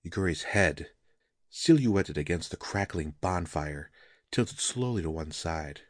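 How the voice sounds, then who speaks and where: A man's voice: sits low, animated, a little slow, no echo, clean studio sound. A man reading a scripted fantasy story as a dramatic narrator.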